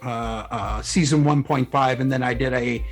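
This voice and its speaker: A man's voice: mid-range voice, moderate pace. A man talking casually as a guest writer being interviewed about his work. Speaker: guest author, fan fiction writer. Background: background music.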